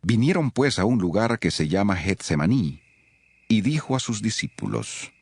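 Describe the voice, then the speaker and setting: Very deep, expressive male narrator. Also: moderate pace, slightly rough, no echo. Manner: solemn storytelling. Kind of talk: scripted scripture narration.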